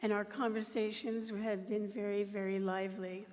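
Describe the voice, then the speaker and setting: A middle-aged woman leading a church service, telling a story in a slow, earnest way into a microphone. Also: mid-range voice, level tone, a little mellow. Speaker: worship leader. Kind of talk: reflective talk at a service. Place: church sanctuary. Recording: reverberant hall.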